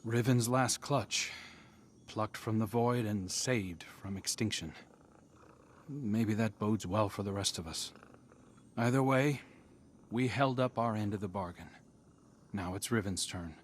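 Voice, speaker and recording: Low, unhurried earnest outcast hero played by a man. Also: a little hiss or hum, natural voice. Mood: wistful, resolute. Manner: sincere, reflective, unhurried. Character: earnest, humbled male outcast hero finding his path.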